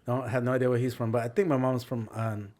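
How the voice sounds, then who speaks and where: A man co-hosting a casual podcast, talking informally in a halting way. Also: mid-range voice, level tone, a crowd in the background. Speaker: podcast co-host.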